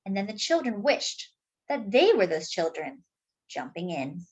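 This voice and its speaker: A woman reading a picture book aloud to children, expressive and deliberate, dropping in chatty asides. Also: mid-range voice, breathy edge. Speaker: children's story reader.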